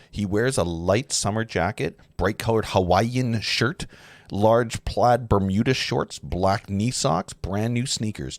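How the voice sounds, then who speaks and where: A man narrating a film script at a live table read, halting and often correcting himself. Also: fairly low pitch, no echo, studio quality. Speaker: narrator reading stage directions.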